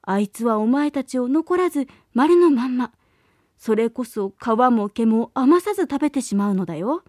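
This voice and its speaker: Brief: gentle storybook nanny goat, woman reader, admonishing, dry close mic. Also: a little high, expressive, normal speed, no echo. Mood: warning. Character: caring mother goat warning her kids. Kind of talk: storybook reading.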